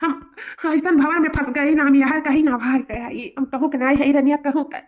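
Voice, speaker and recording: A woman's voice: high voice, steady tone, fairly quick, natural voice. A woman voicing a melodramatic, wheedling young male lover in a cartoon comedy, repeating phrases with an eastern Uttar Pradesh accent. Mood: lamenting. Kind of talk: cartoon family comedy acting. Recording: tinny, phone-like narrow audio.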